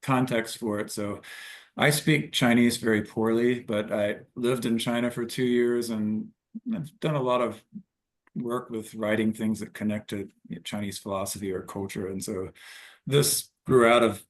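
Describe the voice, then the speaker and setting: A man, a poet and professor, explaining informally before a recital with halting, self-correcting phrasing. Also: on the low side, fairly even intonation.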